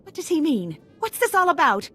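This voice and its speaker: A woman's voice: sits high, very animated, a little fast, pushed and bright, faint music behind, exaggerated voice. A woman playing a polite but guarded wife in a video game. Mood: frightened. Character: polite, wary wife of a crime supplier. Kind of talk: video game dialogue acting.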